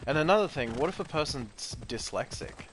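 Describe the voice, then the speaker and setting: A man's voice: a little high, animated, a little fast, a little hiss or hum. A man giving casual gameplay commentary, ranting in an opinionated, profane way. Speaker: gaming commentator. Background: loud game music.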